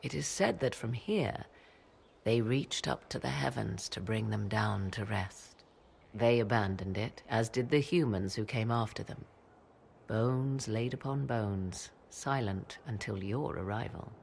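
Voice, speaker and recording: An actress voicing a mysterious, secretive mage mother in a video game, speaking in a measured, formal, archaic way. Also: extremely low pitch, gravelly, a little mellow, slight background noise, exaggerated voice. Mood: grave. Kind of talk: video game cutscene dialogue.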